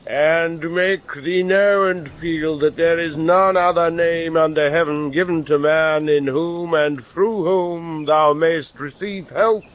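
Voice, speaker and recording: A man acting a stubborn, unflappable British naval commander in a radio drama, with a measured, formal, commanding British delivery. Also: a little high, fairly bright tone. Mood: solemn, ceremonial.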